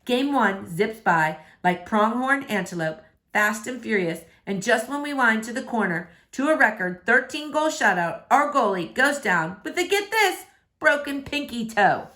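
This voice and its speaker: A woman reading a verse novel aloud as an expressive storyteller. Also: fairly high pitch, unhurried. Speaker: book reader.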